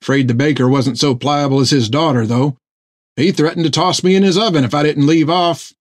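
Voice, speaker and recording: A man voicing an excitable, food-loving male sailor who talks in a folksy, rambling way. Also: on the high side, a little fast, no echo, studio quality, close to an everyday voice. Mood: chatty. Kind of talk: audiobook character voice.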